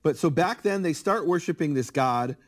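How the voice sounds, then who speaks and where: Middle-aged male guest scholar, slightly high voice, animated and lecturing. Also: moderate pace. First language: English. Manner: animated, acts out quoted speakers. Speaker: guest scholar of biblical Hebrew. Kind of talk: conversational interview, lecturing.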